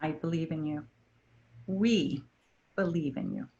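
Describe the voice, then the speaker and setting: A woman plays a gentle, wise river spirit in a staged play. She speaks very slowly and instructively, in a lowish voice. The sound is a little dull and lo-fi. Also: very animated, gravelly, slightly rough, full character voice. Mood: tender, encouraging. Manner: measured, earnest, instructive. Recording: dull lo-fi recording.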